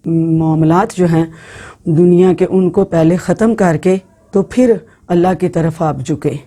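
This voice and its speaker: A woman giving informal Islamic religious instruction to women, speaking in an instructive way with halting phrasing and repeated words. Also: on the low side, dark tone. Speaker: religious teacher.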